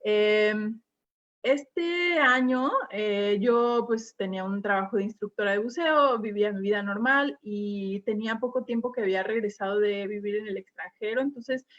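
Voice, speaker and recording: A middle-aged woman from Mexico, a dive instructor, giving an online talk in a relaxed way with many fillers and pauses. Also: mid-range voice. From Mexico, Quintana Roo. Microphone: video call. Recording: muffled video call audio.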